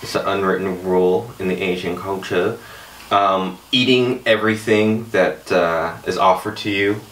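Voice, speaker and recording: A man chatting casually as a guest on a panel, telling animated stories and breaking into high-pitched laughs. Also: normal speed. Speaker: guest answering questions. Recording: roomy, distant mic, faint noise.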